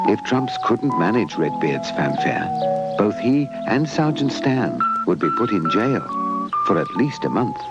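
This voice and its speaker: A British man narrating a children's story in an animated, expressive storybook style. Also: lowish voice. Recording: dull lo-fi VHS tape transfer. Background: music.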